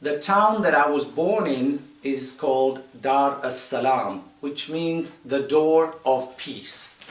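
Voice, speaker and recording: Man in his fifties, from Tanzania, fairly high voice, measured and explanatory, distant mic, echoey room. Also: lively intonation. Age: 50s. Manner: measured, explanatory, with pauses and fillers. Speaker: guest speaker to children. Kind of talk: informal talk to children.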